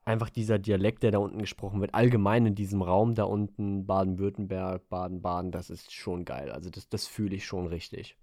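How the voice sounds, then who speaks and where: Man in casual podcast chat, low voice, rambling, hesitant, dry close sound. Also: steady tone, fairly quick, few pauses, dark tone, no room sound. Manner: rambling, hesitant, lots of fillers and restarts. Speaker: podcast co-host.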